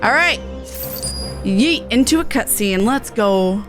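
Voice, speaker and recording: Slow-talking woman streaming a game. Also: a little high, expressive, over music. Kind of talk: casual playthrough chat.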